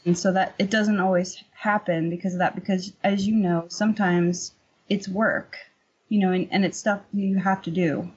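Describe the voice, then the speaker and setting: Husky-voiced woman chatting on lo-fi audio. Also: medium pitch, fairly even intonation, moderate pace. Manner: candid, informal, rambling. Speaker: painter, podcast guest. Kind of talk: casual interview chat.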